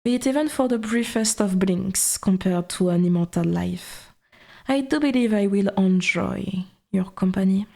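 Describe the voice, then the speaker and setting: A woman's voice: mid-range voice, normal speed, creaky, dark tone. A woman playing an ancient, haughty and possessive forest god in an ASMR audio roleplay, speaking in formal, archaic, condescending phrases. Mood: musing, softening.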